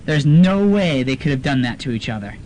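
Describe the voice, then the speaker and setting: A man playing a dramatic, excitable college student, urgent and slangy, in a student radio drama. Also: medium pitch, fairly quick, lightly acted. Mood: urgent, ominous. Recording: noisy amateur student radio recording.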